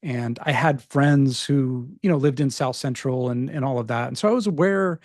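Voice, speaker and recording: A man in his forties, from Los Angeles, hosting an interview and responding warmly to his guest with casual fillers. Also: medium pitch, moderate pace, dry recording, clean studio sound. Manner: affirming, conversational with fillers. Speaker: interview host.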